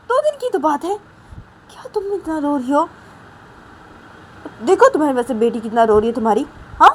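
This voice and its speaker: A woman's voice: a little high, a little mellow, lightly acted. A woman voicing an exasperated mother who scolds a grown daughter insistently and repetitively in an amateur dramatized story. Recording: faint vehicle noise behind the voice.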